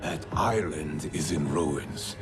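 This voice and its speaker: A man's voice: rumbling low, slow. An actor playing a grim, doubting villain's henchman in a video game, grave and blunt. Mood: grim, doubtful. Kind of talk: dramatic game cutscene acting. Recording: dramatic music behind the voice.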